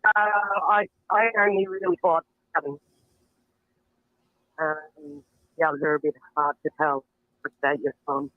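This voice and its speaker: A man's voice: a little high, takes it slowly, pauses often, no echo. An older man from Australia calling into a show, talking in a hesitant, rambling way with giggles, over a tinny phone line. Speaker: caller.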